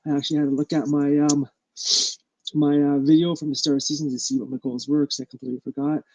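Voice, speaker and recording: A man with a stuffy nose, hosting a casual live stream about his small business and talking haltingly with lots of ums and uhs. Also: a little high, little pitch movement, dark tone, dry recording, highs cut off.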